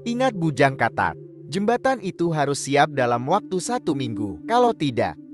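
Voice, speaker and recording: A man's voice: on the high side, sing-song, normal speed, over music. A man voicing a stern, proud king who sets hard conditions in a storybook tale. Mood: stern, demanding.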